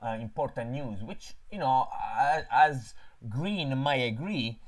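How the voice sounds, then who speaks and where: A man from Italy with an Italian accent, hosting a casual live stream about crypto trading and pausing often to fill gaps. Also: medium pitch, lively intonation. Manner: halting, frequent filler pauses. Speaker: crypto trading channel host.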